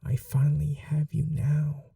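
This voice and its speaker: A man playing a slow, velvety-dark whispering vampire lover. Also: a little high, completely flat delivery, distant mic. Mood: tender. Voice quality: soft, breathy, often dropping into whispers. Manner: slow, coaxing, tenderly menacing. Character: possessive, tender-cruel ageless male vampire lover. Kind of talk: intimate ASMR roleplay.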